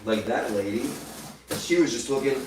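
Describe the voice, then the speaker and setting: Man ranting, echoey and far off. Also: moderate pace. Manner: profane, taunting, mocks critics in shrill put-on voices. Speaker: livestreamer. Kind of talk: angry livestream rant. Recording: echoey room, voice from far off the mic.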